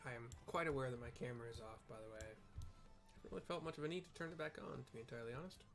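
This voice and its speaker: A man's voice: medium pitch, rapid-fire, a bit bright, soft background music. Rambly man chatting over gameplay. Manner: rambling, filler-heavy, self-deprecating. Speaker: game streamer narrating for blind viewers. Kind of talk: casual gameplay chat and description.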